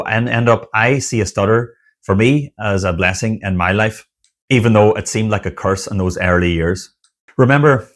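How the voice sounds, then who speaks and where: Earnest low-voiced man encouraging viewers. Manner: earnest, encouraging, speaks in repeated list phrases with pauses. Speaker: online community founder and stuttering coach. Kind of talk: motivational talk to camera.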